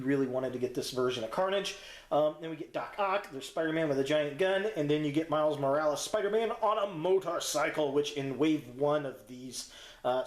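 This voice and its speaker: Chatty man in an echoey room. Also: a little high. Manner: informal, chatty, slangy. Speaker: host of a toy review channel. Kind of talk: casual unboxing review commentary. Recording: roomy, echoey sound.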